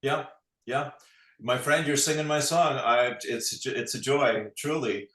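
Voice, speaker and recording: A man's voice: mid-range voice, unhurried, reverberant. A man hosting a video-call interview, often affirming his guest with short replies before asking questions. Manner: warm affirming backchannels. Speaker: host.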